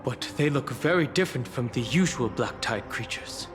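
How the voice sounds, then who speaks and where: A man's voice: mid-range voice, expressive, moderate pace, over music, lightly acted. A man voices a stoic young spearman hero from a fantasy game. His mid-range voice is serious and wary, with terse, reserved delivery. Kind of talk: English game dub voice acting.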